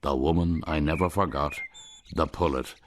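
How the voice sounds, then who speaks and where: A man's voice: extremely low pitch, steady tone, normal speed, slight background noise. A middle-aged Irish man narrating his own story in a wry, rueful, lyrical way for a radio drama. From Ireland. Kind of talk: scripted first-person radio narration.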